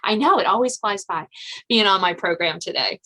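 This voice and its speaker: A woman's voice: mid-range voice, normal speed, bright, forceful tone. Woman hosting a conversational interview podcast. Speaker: podcast host.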